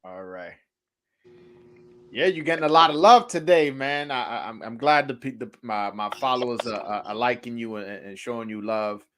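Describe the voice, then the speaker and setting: A man's voice: medium pitch, normal speed, a bit bright. A young man hosting a casual live-stream interview, joking loosely, stammering and repeating words, switching into Spanish to translate for his guest. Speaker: host.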